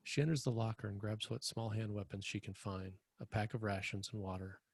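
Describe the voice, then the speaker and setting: Low, gravelly male narrator. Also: steady tone, a little fast. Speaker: narrator reading stage directions. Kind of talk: scripted narration.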